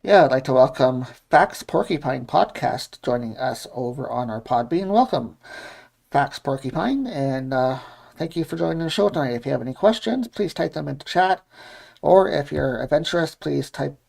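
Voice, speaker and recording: A man's voice: fairly high pitch, lively intonation, moderate pace, creaky, a little mellow. A man with a Canadian accent co-hosting a live podcast in a casual, chatty style. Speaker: podcast co-host and producer. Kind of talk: casual live show chat.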